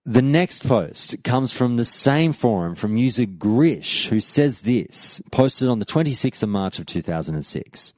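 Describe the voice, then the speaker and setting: A man's voice: unhurried, few pauses, dry recording. A male podcast host reading listener posts aloud and talking them over in a solo show. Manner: animated, rises in pitch when reading quoted posts aloud.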